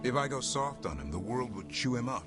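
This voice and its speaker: A man's voice: medium pitch, big pitch swings, fairly quick, over loud music, lightly acted. An actor playing a skeptical, blunt male companion in a video game, with a dry, terse manner. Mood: dry, gruffly fond. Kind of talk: video game cinematic acting.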